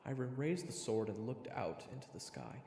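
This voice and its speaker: A man's voice: mid-range voice, expressive, normal speed, background noise. A man narrating a scripted first-person fantasy story as a dramatic storyteller. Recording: reverberant, with prominent music behind. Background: music.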